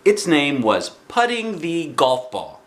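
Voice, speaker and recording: A man in his forties reading a children's book aloud expressively, with dramatic pauses and emphasis. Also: on the high side, huge pitch swings. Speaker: storybook reader. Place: small living room indoors.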